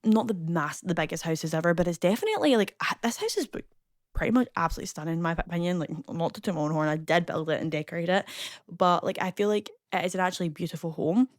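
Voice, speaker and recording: Young scottish woman rambling away casually, kinda low voice, dry close mic. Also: expressive, no room sound. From Scotland. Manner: chatty and rambling, many fillers and quick asides. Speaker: YouTuber narrating a game build. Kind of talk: casual chatty voice-over.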